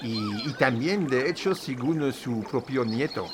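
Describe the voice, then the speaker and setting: A man's voice: medium pitch, animated, unhurried, background noise. A man giving an informal history lecture, hesitant and full of fillers. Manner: says his own Spanish is a bit crooked. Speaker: video presenter on Nahua history. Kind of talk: informal lecture, partly read aloud.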